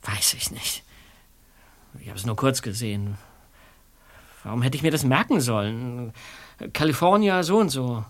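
A man voicing a jittery young male sleuth grilled by police in a radio play, protesting his innocence with rising, flustered denials. His voice sits at an ordinary middle pitch, hurried and defensive. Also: animated, natural voice. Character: young male detective under interrogation, nervous.

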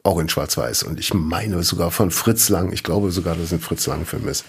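Husky low-voiced man hosting a podcast quiz. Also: moderate pace. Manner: chatty and rambling, often laughing mid-sentence. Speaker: podcast co-host and quizmaster. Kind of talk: casual podcast chat.

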